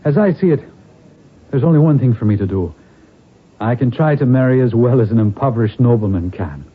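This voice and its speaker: An actor playing a proud but weary impoverished nobleman, husky-voiced, in old radio drama style. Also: mid-range voice, expressive, fairly quick. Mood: wistful, resolute. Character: impoverished Irish nobleman, proud yet weary, last of his line. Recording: narrow-band old radio broadcast.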